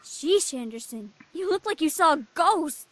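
A woman plays a lively teenage schoolgirl in a visual novel, teasing and animated. The voice is very high and swings widely in pitch, with a dry, close sound. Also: a little slow, no echo. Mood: concerned, teasing.

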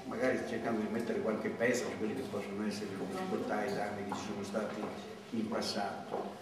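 A man in his fifties giving a reflective talk at a project presentation, pausing often with hesitant fillers. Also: fairly low pitch, level tone. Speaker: supervisor speaking at a project presentation. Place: large classroom with rows of chairs. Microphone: far camera. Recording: echoey room from far off, background noise.

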